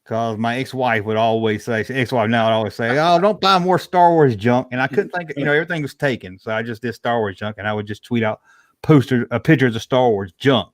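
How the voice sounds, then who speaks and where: A man's voice: medium pitch, a little fast, no echo, clean studio sound. A middle-aged man from Georgia with a Southern US accent, chatting casually and folksily as a livestream guest answering viewer questions. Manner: folksy, colloquial. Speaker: livestream guest, toy collector.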